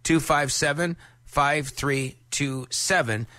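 A man's voice: fairly high pitch, takes it slowly, no room sound, studio quality. A man in his fifties working as a country radio DJ, chatting casually in a folksy, jokey, conversational way between songs. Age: 50s. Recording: loud music under the voice. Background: music bed.

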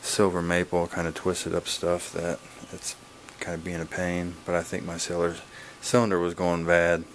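Low, flat-voiced man, casual vlog. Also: moderate pace, few pauses, a little hiss or hum. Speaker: wood-splitting video blogger. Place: garage, open to outdoors. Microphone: handheld camera's built-in mic.

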